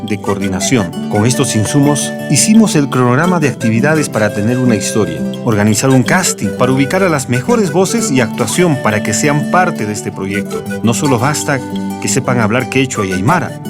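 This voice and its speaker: A man narrates from a script in documentary style. His pitch is average for a man, and clear guitar music plays behind him. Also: lively intonation, normal speed. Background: plucked guitar music.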